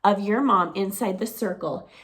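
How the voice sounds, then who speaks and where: A middle-aged woman teaching preschoolers, talking to small children in an animated, sing-song teacher voice. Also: medium pitch, normal speed. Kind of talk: children's circle-time lesson to camera.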